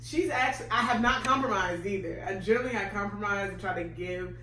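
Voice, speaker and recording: A playful woman chatting casually as co-host, teasing her partner and laughing often. She sits at a middle pitch, recorded in a roomy space with a faint hum behind her. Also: fast, pushed and bright. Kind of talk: casual chat.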